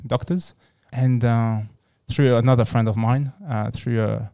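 A man from France with a French accent, answering casually as an interview guest in a halting, repetitive way. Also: mid-range voice, fairly even intonation, gravelly, dark tone, smooth, no echo, studio quality. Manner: halting, repeats words and phrases.

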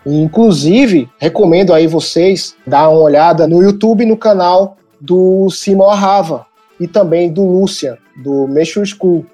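Brazilian Northeastern male host, mellow tone, lively and explanatory. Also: high voice, unhurried, soft background music. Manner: upbeat, explains step by step and prompts guests. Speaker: podcast host. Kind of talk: casual podcast chat.

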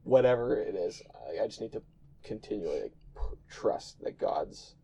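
Mellow-voiced hesitant young man. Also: fairly low pitch, lively intonation, moderate pace, creaky, husky, poor audio. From Canada. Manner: hesitant, searching for words. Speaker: podcast co-host. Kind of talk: casual chat. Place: small hot room.

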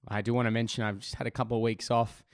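A man's voice: mid-range voice, level tone, racing, no echo, clean studio sound. A man hosting his podcast, chatty and enthusiastic with lots of fillers, his voice still recovering from laryngitis. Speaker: podcast host. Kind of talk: casual podcast intro and interview.

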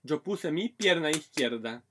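A man's voice: fairly high pitch, expressive, a little slow, a bit bright, a little hiss or hum. A man in his thirties from Brazil with a Brazilian accent, co-hosting a podcast in casual chat and telling frank stories full of Chilean slang. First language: Portuguese. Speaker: podcast co-host.

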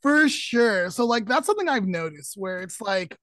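A woman's voice: mid-range voice, sing-song, normal speed, a bit bright, dry recording. Chatty woman in her thirties, dry sound. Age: 30s. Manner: chatty, heavy use of "like" and "um" fillers. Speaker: podcast co-host. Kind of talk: casual chat.

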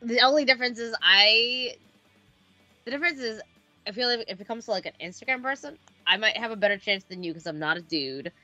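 List: woman, around thirty, podcast co-host, bright tone, chatty, playfully indignant, dry lo-fi recording. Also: on the high side, expressive, brisk, dry recording, soft background music. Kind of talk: casual podcast chat.

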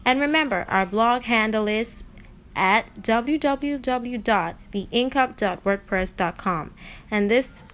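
A woman's voice: on the high side, takes it slowly, a bit bright. A woman hosting a radio drama podcast, talking informally and emphatically to her listeners. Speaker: podcast host. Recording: clear background noise.

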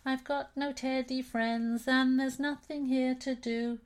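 A woman's voice: sits high, pitched above the performer's own voice. A tearful, lonely teddy bear, as a woman puts her on sings out her woes in a lifted, wobbly voice. She drags each word out in a mournful singsong. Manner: singsong, drawn-out wailing lament.

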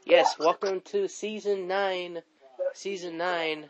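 Man, podcast host, nasal from a cold, easygoing, slightly high voice, noisy recording. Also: steady tone, slow. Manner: easygoing, prompts his co-host with short questions. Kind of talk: casual podcast chat.

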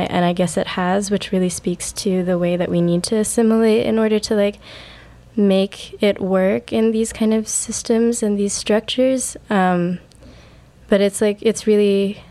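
Young woman, native Urdu speaker, lower voice, reflective and halting podcast guest. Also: darkish tone. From United States. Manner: reflective, hesitant, many fillers. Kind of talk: personal storytelling.

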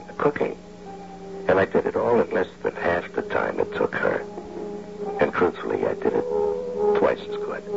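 An actor playing an indignant out-of-work engineer turned reluctant househusband in an American old-time radio drama. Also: male voice, low voice, vocal fry, exaggerated voice. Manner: blustering, stammering when protesting. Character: indignant unemployed male engineer turned reluctant househusband, narrating his story. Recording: muffled, hissy old radio recording.

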